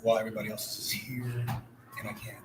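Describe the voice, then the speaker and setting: A man's voice: fairly low pitch, level tone, fairly quick, background noise. A man in his twenties, a musician, answering interview questions in a laid-back, rambling, slangy way. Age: 20s. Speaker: guest musician. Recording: distant mic, loud crowd behind.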